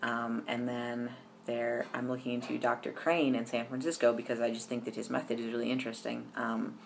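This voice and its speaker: A man's voice: medium pitch, completely flat delivery, brisk, creaky, a little hiss or hum. A young man chatting casually to camera in a personal vlog, rambling with lots of ums and restarts. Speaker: vlogger.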